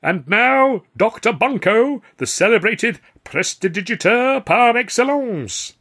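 A man doing a booming music hall announcer. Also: lively intonation, measured pace, fairly bright tone, breathy edge, exaggerated voice. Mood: grandiose. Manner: booming, theatrical proclamation.

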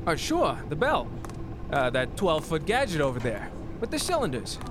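An actor playing a nerdy, hesitant young underling with a snide streak in a pulp audio drama. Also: male voice, on the high side, huge pitch swings, moderate pace, background noise. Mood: uncertain. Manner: hesitant, snippy.

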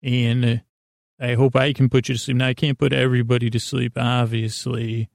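A man hosting a bedtime sleep podcast, rambling in a halting, stammering way and often trailing off mid-thought. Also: medium pitch, little pitch movement, a little mellow, no room sound, clean studio sound. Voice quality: gravelly, creaky. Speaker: podcast host.

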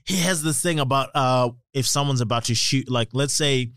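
Rambling, sweary podcast bloke. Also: male voice, medium pitch, moderate pace, fairly bright tone, no room sound, clean studio sound. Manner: rambling, sweary, laughs a lot. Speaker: podcast co-host. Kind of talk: casual podcast chat. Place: podcast studio.

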